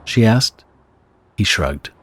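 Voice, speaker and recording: Low-voiced man narrating a horror story. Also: animated, slow pace, fairly bright tone, slightly rough. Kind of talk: scripted narration of a horror audio drama. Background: guitar music.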